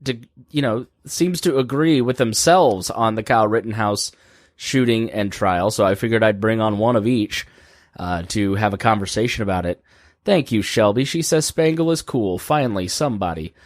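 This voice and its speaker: A man hosting a live-stream podcast, hoarse from laryngitis, rambling casually with plenty of fillers. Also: on the low side, moderate pace, no echo, studio quality. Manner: rambling, jokey, many fillers. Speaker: podcast host.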